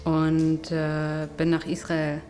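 A young woman from Germany speaking calmly and reflectively in a documentary interview. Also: low-pitched, slow pace. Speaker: interviewee, rabbinical student. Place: indoor room with plants. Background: soft music.

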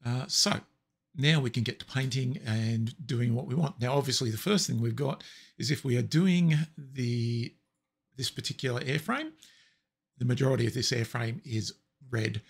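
A man with a raspy voice explaining software steps in a relaxed, step-by-step tutorial. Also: medium pitch, moderate pace. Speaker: tutorial presenter. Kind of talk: screen-recorded software tutorial.

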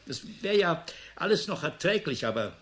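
An actor playing a disillusioned, weary professor in a radio drama, musing and sardonic. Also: male voice, a little high, very animated, a bit bright. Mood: exasperated, musing. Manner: musing, sardonic, halting and emphatic by turns. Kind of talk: German radio drama acting.

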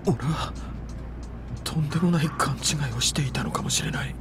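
A man voicing an excitable, impulsive ordinary man in a dramatic game cutscene, urgent and blurting. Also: on the high side, fairly quick, over music, close to an everyday voice. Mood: shaken realization.

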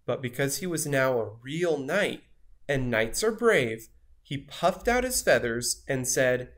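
A storyteller reading a children's picture book aloud in an expressive style. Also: medium pitch, takes it slowly.

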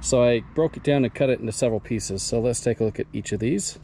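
Mellow-voiced man showing off rocks. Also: mid-range voice, fast talker, slight background noise. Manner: enthusiastic, talks to viewers while handling rocks. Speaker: rockhounding vlogger. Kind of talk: casual show-and-tell narration. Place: outdoors at a mine site and a backyard. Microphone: action camera mic.

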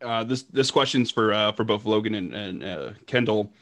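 A man, a TV reporter, asking a question at a press conference in a halting way. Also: lowish voice, animated, a bit bright. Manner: halting, repeated words.

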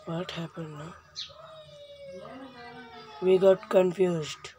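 Slow-paced woman commentating a game, noisy recording. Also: lowish voice, steady tone, dark tone. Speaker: let's play host. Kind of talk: casual gameplay commentary.

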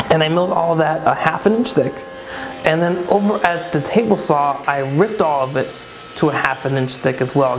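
A man's voice: fairly high pitch, vocal fry, a little hiss or hum. A man in his thirties hosting a casual woodworking shop update, upbeat and chatty, in a furnished room. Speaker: woodworking channel host.

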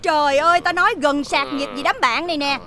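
A woman's voice: high-pitched, quick pace. An actress playing a fed-up village wife, nagging and scolding her drunkard husband in a folk-tale film. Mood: indignant scolding. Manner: sharp, nagging complaint.

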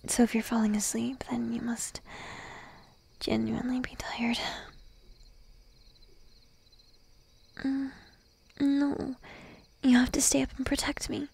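A woman playing a shy, needy young sheep-girl girlfriend in an ASMR roleplay, whispering softly and coaxingly up close to the microphone. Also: a little high, little pitch movement, exaggerated voice. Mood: clingy, pleading. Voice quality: soft, breathy whisper. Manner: timid, coaxing, lingering pauses. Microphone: close microphone, dry.

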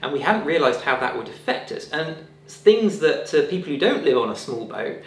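A man's voice: on the high side, normal speed, husky. A man in his thirties chatting casually and joking dryly in a travel vlog. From England. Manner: relaxed, dry joking. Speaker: sailing vlogger. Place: apartment and small car in a game park.

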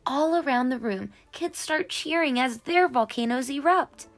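A young adult woman narrating a picture book for children in an animated, expressive and carefully enunciated way. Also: high voice, unhurried, soft background music. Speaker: children's read-aloud storyteller.

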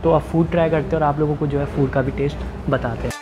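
A man's voice: fairly high pitch, fairly even intonation, quick pace, over loud music. A young man presenting a casual food vlog to a handheld camera, informal and mixing in English words. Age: young adult. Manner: informal, repeats filler phrases, mixes in English words. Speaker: food vlogger, host. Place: large, mostly empty restaurant. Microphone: handheld camera at arm's length. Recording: clear background noise, restaurant ambience.

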